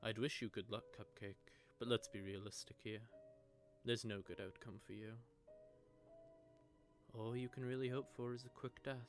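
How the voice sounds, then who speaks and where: Man playing a low-voiced, sardonic predatory vampire. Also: fairly even intonation, moderate pace, faint music behind, lightly acted. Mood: resigned, wry. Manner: teasing, taunting, drawling menace with soft asides. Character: sardonic, predatory male vampire, secretly sentimental toward prey. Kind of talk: scripted intimate audio roleplay monologue.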